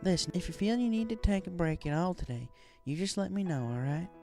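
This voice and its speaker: Guy doing a hearty girl farm pony, pitched up, folksy n encouraging, storybook style, soft edged. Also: expressive, brisk, soft background music. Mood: friendly, bossy. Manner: folksy, instructive.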